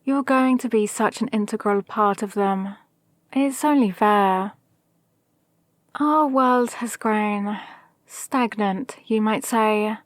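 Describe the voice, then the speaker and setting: Villain mentor, female actor, ASMR roleplay: calculating, formal, gently menacing, unhurried, close dry sound. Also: mid-range voice, no room sound, lightly acted. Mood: calm, calculating. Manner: measured, deliberate, formal. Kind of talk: scripted ASMR roleplay monologue.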